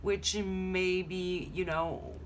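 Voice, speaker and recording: Chatty low-voiced woman reading cards. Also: fairly even intonation, very slow, slight background noise. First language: French. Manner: chatty, rambling, thinking aloud. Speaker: tarot reader. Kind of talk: casual card-reading vlog. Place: home room.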